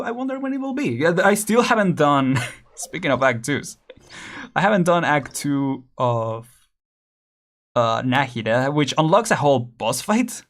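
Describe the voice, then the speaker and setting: A man chatting casually on a game stream, rambling with many fillers, restarts and small laughs. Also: medium pitch.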